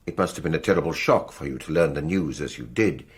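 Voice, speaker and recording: An actor playing a courteous, authoritative French examining magistrate in a radio drama, with a formal, official manner. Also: male voice, rumbling low, animated, quick pace. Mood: courteous, grave.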